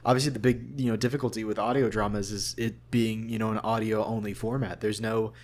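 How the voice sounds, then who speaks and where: A man, a voice actor, chatting casually and telling anecdotes in an earnest, animated way. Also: lowish voice, fast talker. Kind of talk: casual anecdotal interview chat.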